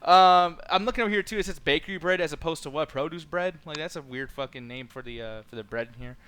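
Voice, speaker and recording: A man's voice: on the high side, fast, a bit bright. A man casually chatting, cursing and laughing while streaming a horror game. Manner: profane, joking, laughs often. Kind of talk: casual gaming stream chat.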